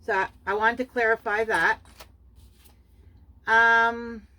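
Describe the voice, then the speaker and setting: Unhurried middle-aged woman, German first language, raised in Canada; hesitant crafting vlogger. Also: fairly high pitch, little pitch movement, bright, forceful tone, rough recording. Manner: hesitant, many fillers and self-corrections. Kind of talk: casual show-and-tell.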